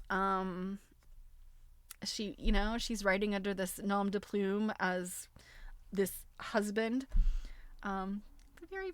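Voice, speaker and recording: A woman hosting a live stream, reading a book aloud in an expressive, amused way with chatty, casual asides. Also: mid-range voice, dry recording.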